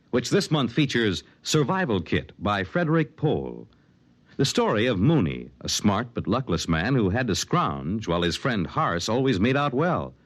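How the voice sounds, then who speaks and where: An American man working as a 1950s radio announcer. His voice is fairly low with very varied melody, delivering scripted credits formally. It sounds like an old broadcast recording.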